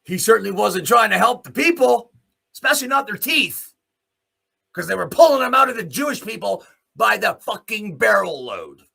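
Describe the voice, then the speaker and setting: A man delivers an opinionated, off-the-cuff commentary as a show host. His voice is forceful and rough-edged, and his pitch moves up and down in a very animated way. He is blunt and sardonic, leaning on pointed rhetorical questions and swearing. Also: moderate pace. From Canada. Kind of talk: opinionated rant, spoken off the cuff.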